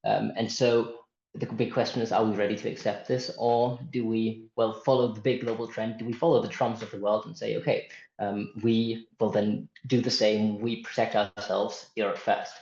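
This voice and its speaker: Young man, gravelly, hesitant panelist. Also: lowish voice, level tone, moderate pace, darkish tone. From Germany. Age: 20s. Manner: thoughtful, hesitant, many restarts and fillers. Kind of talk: panel discussion. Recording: echoey and muffled.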